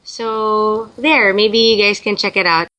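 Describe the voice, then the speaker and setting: A woman's voice: mid-range voice, steady tone, slow pace, sharp tone, poor audio. A young woman from the Philippines, a guest in an interview, talking casually and thinking aloud with frequent restarts.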